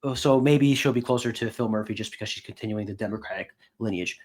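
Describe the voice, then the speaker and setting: A man co-hosting a sports podcast, giving casual commentary in a measured, wry way. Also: fairly low pitch, darkish tone.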